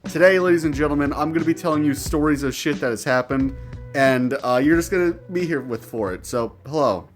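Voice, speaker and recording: A man with a fairly high voice tells stories to his viewers in a loose, rambling way. He talks like a gamer among friends, playful and crude, tossing in swearing and made-up words. Music plays under his voice. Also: fairly quick. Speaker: gaming storyteller and channel host. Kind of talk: casual, rambling anecdote told to viewers.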